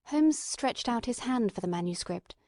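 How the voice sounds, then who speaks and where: A woman's voice: a little high, animated, normal speed, darkish tone, no room sound, clean studio sound. A woman reading scripted literary narration as an audiobook narrator.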